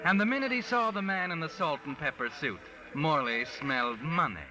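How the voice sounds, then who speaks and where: A man's voice: fairly high pitch, animated, fairly quick, music in the background, lightly acted. Man as a sinister, swooping mystery narrator. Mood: knowing storytelling. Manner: dramatic, ominous, knowing. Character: mysterious, sinister all-knowing male night-walking narrator. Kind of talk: 1940s radio mystery narration.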